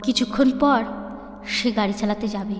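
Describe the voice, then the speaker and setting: Woman, high pitch, dramatic horror narration, deliberate suspenseful phrasing, reverberant, music bed. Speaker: storyteller, channel narrator. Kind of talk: dramatic ghost story narration. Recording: echoey long reverb, music underneath.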